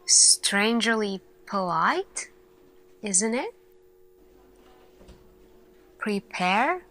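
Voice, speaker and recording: A woman playing an earnest lead scientist in an ASMR roleplay, speaking deliberately in short phrases with dramatic pauses. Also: mid-range voice, big pitch swings, bright, forceful tone, low-quality recording. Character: earnest female lead scientist addressing her team. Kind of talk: slow ASMR roleplay monologue.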